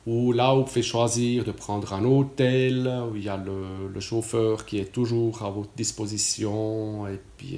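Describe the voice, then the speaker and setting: A man's voice: medium pitch, a little mellow, roomy sound. A Swiss man in his late forties with a Swiss French accent, giving a casual testimonial, talking hesitantly with many fillers and restarts. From Switzerland. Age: 40s.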